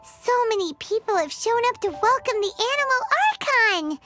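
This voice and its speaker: A tiny fairy companion from a video game, extremely high and squeaky. She is excitable and exclamatory, swinging up and down like a child, with faint music behind her. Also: moderate pace, heavily acted. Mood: excited. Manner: exclamatory, speaks of self in third person.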